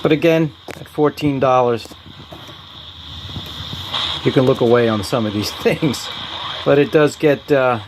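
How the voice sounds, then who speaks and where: A man hosts a casual gadget review in an ordinary mid-range voice. He talks off the cuff in a halting, hesitant way, as if demonstrating something as he goes. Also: mid-range voice, background noise. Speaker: radio reviewer and host.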